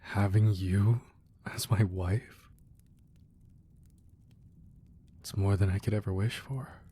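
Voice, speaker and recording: A man acting a gruff but tender, protective crime boss husband in an intimate, soft-spoken ASMR romance roleplay. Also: fairly low pitch, fairly even intonation, unhurried, a little hiss or hum, natural voice. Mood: devoted, tender. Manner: soft, intimate, affectionate murmur.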